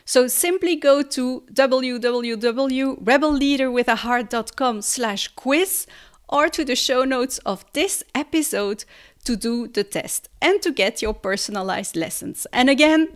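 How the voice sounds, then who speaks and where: A woman's voice: high-pitched, measured pace. A woman hosting a leadership podcast, speaking upbeat and encouraging straight to her listeners. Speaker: podcast host. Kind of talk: podcast interview and promo.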